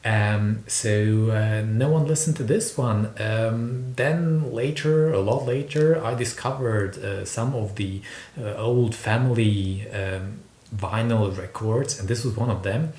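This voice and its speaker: A man's voice: slow, a little mellow. A man from Slovakia with a Slovak accent, talking casually to camera in a hesitant, rambling way, often repeating words. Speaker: video host, record collector. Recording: roomy echo, noticeable low rumble noise.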